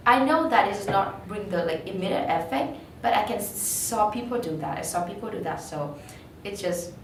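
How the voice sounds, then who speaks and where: A woman's voice: medium pitch, expressive, normal speed, gravelly. A young woman student with a Vietnamese accent, answering casually and searching for her words. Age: young adult. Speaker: student interviewee. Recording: echoey room, distant mic, faint noise.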